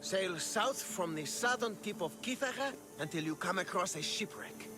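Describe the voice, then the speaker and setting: A man's voice: high-pitched, lively intonation, normal speed, music in the background. An actor playing a jealous, lovesick man in a video game, giving orders in a haughty, sneering, defensive manner. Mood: brusque. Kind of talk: video game dialogue acting.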